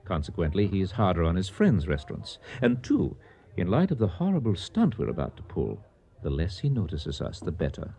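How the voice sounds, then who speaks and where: Brief: urbane scheming restaurateur, low husky voice, formal and wordy, radio comedy. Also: male voice, wildly swooping pitch, fairly quick, dark tone, full character voice. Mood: sly, pedantic. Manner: formal, wordy, mock-indignant. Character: urbane, pedantic male restaurateur and scheming accomplice. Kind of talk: radio comedy drama acting.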